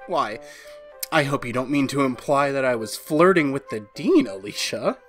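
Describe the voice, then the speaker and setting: A woman's voice: a bass voice, expressive, normal speed, faint music behind. A woman playing a nervous, henpecked husband in a retro radio drama, confiding hesitantly and getting flustered. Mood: fond, flustered. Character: nervous, henpecked husband, a university history teacher. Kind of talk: retro 1940s radio drama, first-person narration.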